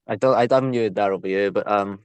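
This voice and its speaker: A man's voice: lowish voice, fast, smooth, no room sound. Welsh man hosting, close dry sound. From Wales. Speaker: podcast host. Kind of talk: casual interview.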